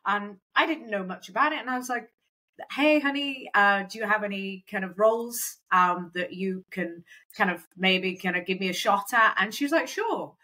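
A woman talks in a relaxed, chatty way, filling her sentences with "kind of" and "um". Her voice has a bright, forward tone. She sits at a middle pitch for a woman. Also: normal speed, low-quality recording. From Wales. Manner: chatty, lots of fillers like "kind of" and "um". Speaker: recruitment company founder, guest. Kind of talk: conversational interview.

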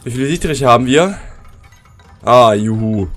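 A man talks his viewers through a game from his computer at home, his voice worn by a cold and a sore throat. His pitch sits in an ordinary middle range, and he chats along easily as he plays. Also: expressive, normal speed, fairly bright tone. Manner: chatty, addresses viewers directly. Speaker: let's play commentator. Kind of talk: casual gameplay commentary.

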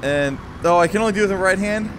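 A young man gives casual, excited commentary as he plays a video game, playful and full of exclamations. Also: sits high, quick pace, a little hiss or hum, rough recording. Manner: playful, exclamatory, talks along while moving in a VR game. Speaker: gaming video host.

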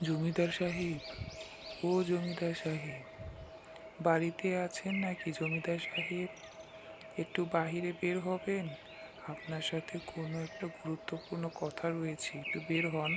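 Man playing a high-voiced, earnest village husband. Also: flat delivery, unhurried, noisy background, close to an everyday voice. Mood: calling out. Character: worried, humble village husband and father. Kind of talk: Bengali cartoon character voice acting.

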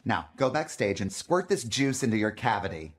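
An actor voicing a smug, bossy alien overlord in an animated comedy, pompous yet chatty and nagging. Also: mid-range voice, normal speed, natural voice.

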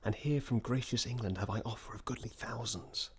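An actor playing a brooding exiled prince with measured oratory in classical radio drama. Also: male voice, mid-range voice, a little mellow, a little hiss or hum, natural voice. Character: Malcolm, brooding exiled prince rallying men to revenge.

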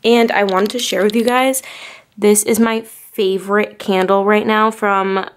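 A woman's voice: mid-range voice, steady tone, measured pace, a little hiss or hum. Chatty young woman with a stuffy nose. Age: 20s. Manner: chatty, rambling, lots of "like" fillers. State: nasal from a cold. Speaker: vlogger. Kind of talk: casual vlog chat to camera. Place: small bedroom, indoors. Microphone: phone or camera at arm's length.

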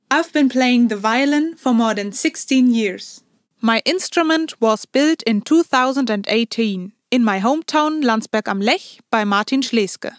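A woman in her twenties from Germany, a violinist, introducing herself to camera at home. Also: on the high side. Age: 20s. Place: small room at home.

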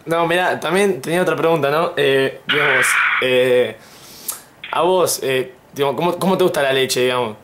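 A young man with an Argentine accent speaking casually and haltingly, as if on a prank phone call. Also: mid-range voice, noisy background. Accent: Argentine Spanish. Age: young adult. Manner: hesitant, halting, polite. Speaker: prank caller, YouTube host. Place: small indoor room at home.

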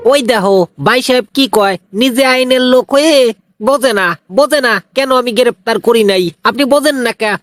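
Man doing a very high-pitched, whiny cartoon crook. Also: a little fast, fairly bright tone, no room sound, soft background music. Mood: defensive. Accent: Bangladeshi regional Bengali. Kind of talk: comic cartoon dialogue.

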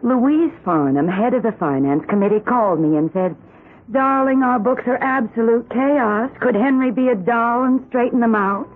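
An actress as a fretful, animated suburban wife. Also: female voice, on the high side, moderate pace, lightly acted. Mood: upset. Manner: hesitant, then insistent. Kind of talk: 1970s radio drama acting. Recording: narrow old radio recording.

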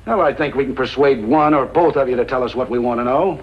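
A man's voice: mid-range voice, fast, breathy edge, close to an everyday voice. An actor playing a menacing outlaw gunman in a 1950s radio western, hard and threatening. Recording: muffled, noisy old radio recording.

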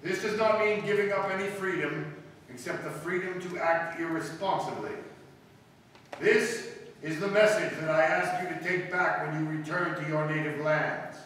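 A man playing a solemn alien envoy in a 1950s-style radio drama, giving grave, measured warnings. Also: a little high, fairly bright tone, recorded from a distance, lightly acted. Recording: noisy echoey hall, captured from afar.

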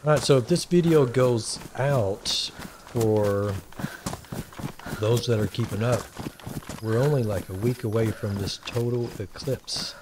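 A man with a Texan accent giving relaxed, rambling commentary and thinking aloud while he plays a survival game. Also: mid-range voice, measured pace, background noise. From Texas. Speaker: gaming channel host. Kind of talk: casual gameplay commentary.

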